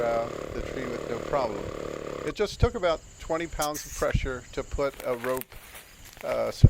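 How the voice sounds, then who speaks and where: A man's voice: mid-range voice, creaky, heavy background noise. An older American man, an amateur radio hobbyist, narrating his outing in a casual vlog style.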